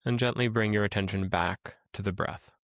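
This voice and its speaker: A man leading a guided meditation as a teacher, speaking in a calm, gentle, instructional way with pauses. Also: lowish voice, no room sound, clean studio sound.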